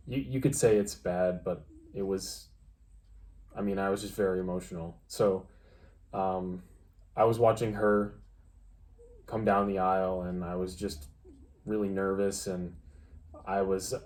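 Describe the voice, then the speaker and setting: A young adult man co-hosting a vlog, telling a personal story casually and haltingly, often restarting his phrases. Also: low-pitched, steady tone, a little mellow. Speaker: vlog co-host. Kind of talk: casual storytime chat with a partner.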